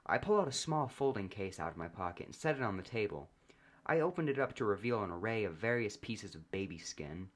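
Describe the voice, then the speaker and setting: A man narrates a comedic story in the first person. His voice sits on the low side, and he keeps a deadpan delivery as he reads. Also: fairly quick.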